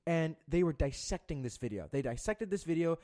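A man's voice: on the high side, lively intonation, a little fast, warm tone. A young man co-hosting a podcast, telling a story in a rambling, profane way with many restarts. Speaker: podcast co-host. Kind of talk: casual chat.

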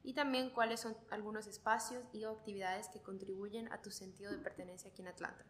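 A woman's voice: mid-range voice, flat delivery, fast talker, a little hiss or hum. A woman hosting a podcast, moving between scripted introduction and casual interview talk. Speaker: podcast host.

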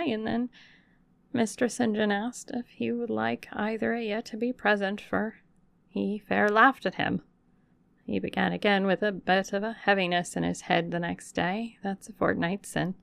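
A woman voicing a blunt, suspicious old female servant who speaks in a broad with a gruff, distrustful manner. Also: mid-range voice, no echo. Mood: fond, mournful. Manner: gruff, distrustful, plain-spoken.

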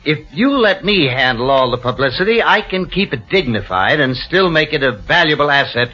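A man's voice: on the high side, sing-song, moderate pace, bright, forceful tone, husky, full character voice. An actor playing a slick, broke, scheming press agent in an old-time radio drama, hesitant and stammering when he makes excuses. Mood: smooth, eager. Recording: muffled vintage radio recording.

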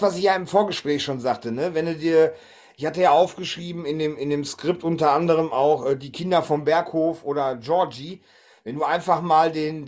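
Podcast host, male, slightly high voice, loose conversational delivery. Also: darkish tone. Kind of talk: casual chat.

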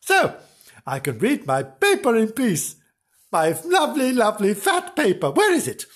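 A storyteller voicing a foolish, excitable husband in a comic children's story, flustered and repeating words. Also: mid-range voice, normal speed, voice pushed up. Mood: cheering up.

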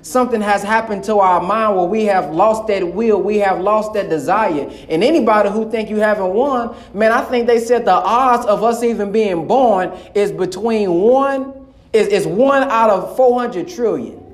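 A man's voice: high-pitched, moderate pace. A man preaching to a live audience in an impassioned, colloquial way. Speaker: minister. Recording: echoey hall.

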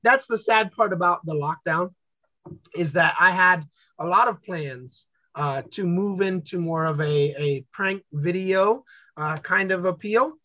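A man chatting casually as an interview guest, telling stories in an upbeat, animated way. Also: high-pitched, unhurried, dry recording. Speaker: guest content creator.

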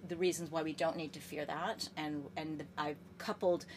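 A woman's voice: deep voice, fairly even intonation, normal speed, a little mellow, slight background noise. An American woman in her fifties, a guest chatting enthusiastically in a podcast interview, often restarting her phrases. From United States. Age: 50s. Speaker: guest, gerontologist.

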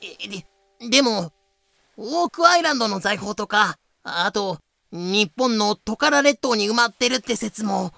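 A man puts on an eager, excitable young man for a comic audio play. The voice is high and sharp with a creaky edge, jumping around in exaggerated fashion over faint music. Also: measured pace, no room sound, studio quality, heavily acted. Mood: surprised, curious. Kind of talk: amateur voice drama acting.

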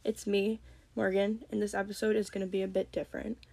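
A young woman with a slight cold hosting a solo podcast, talking calmly and gently. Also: medium pitch, fairly quick, gravelly, no room sound. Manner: calm, gentle, reflective. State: has a cold. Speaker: podcast host. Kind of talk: solo podcast talk on mental health.

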